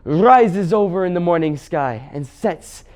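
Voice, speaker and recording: A man voicing a stubborn, earnest, plain-spoken marsh creature with a halting, dogged delivery. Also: sits high, expressive, darkish tone, voice pushed up. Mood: labored, defiant.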